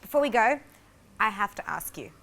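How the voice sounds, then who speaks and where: A woman's voice: mid-range voice, sing-song, moderate pace, gravelly, bright, forceful tone. A woman, asking questions casually as an interviewer.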